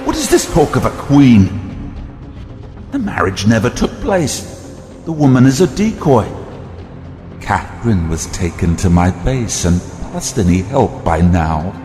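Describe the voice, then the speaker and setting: Deep, slow, haughty vampire prince. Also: male voice, very animated, warm tone. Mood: bitter, defiant. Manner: deliberate, haughty, grandiose. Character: bitter, arrogant vampire prince hungry for throne. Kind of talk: audio drama acting. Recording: long cavernous reverb, faint music.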